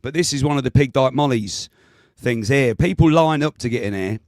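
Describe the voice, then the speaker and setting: Guy on a video call, talks in loops and laughs a lot, bit rough from a voice coming back, dead dry sound. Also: mid-range voice, lively intonation, brisk, darkish tone, dry recording. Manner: rambling, laughs often, restarts sentences. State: voice recovering, clears throat often. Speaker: guest with local knowledge. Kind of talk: casual chat on a video call.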